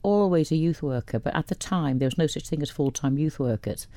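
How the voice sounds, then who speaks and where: Chatty woman with a mellow voice. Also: low voice, fairly quick, no room sound. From Wales. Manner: chatty, rambling with many restarts. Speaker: radio guest. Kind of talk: casual interview chat.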